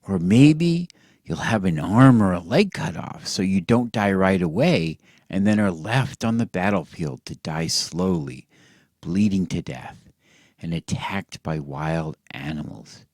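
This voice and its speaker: A man telling a satirical bedtime story as if to children, in a theatrical, mock-earnest way with drawn-out words and hushed drops. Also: deep voice, lively intonation, no echo, clean studio sound.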